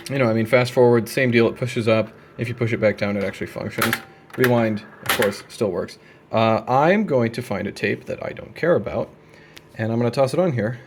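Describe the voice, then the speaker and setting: A young man with a mid-range voice talks through hands-on work in a casual vlog style. His delivery is deadpan and slangy, with a wry edge. There is faint noise behind him from a handheld recording indoors. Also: medium pitch. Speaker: video creator. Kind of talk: casual hands-on repair vlog, narrating as he works. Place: indoor room at a desk.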